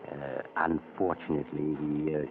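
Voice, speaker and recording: An actor playing a genial but sinister mystery host, narrating slowly and wryly in 1940s radio drama style. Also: male voice, very deep voice, little pitch movement, narrow, tinny audio. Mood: ominous, wry. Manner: slow, ominous, wryly confiding. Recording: scratchy, band-limited 1940s radio recording.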